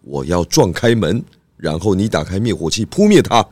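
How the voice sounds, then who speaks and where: Pig detective, children's audio story: low, expressive, calm and cautious leader. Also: male voice, normal speed, very noisy. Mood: decisive. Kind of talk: children's audio drama acting.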